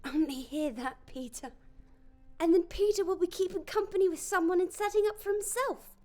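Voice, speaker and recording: Fond teasing mother, high and mellow. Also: moderate pace. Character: warm proud mother, teasing her family. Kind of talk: audio drama acting.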